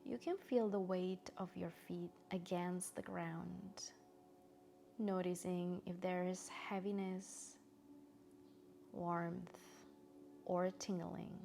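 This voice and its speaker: A woman guiding a meditation in a gentle, soothing, soft-spoken way with long pauses. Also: lowish voice, steady tone, vocal fry. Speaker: meditation guide. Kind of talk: guided meditation narration.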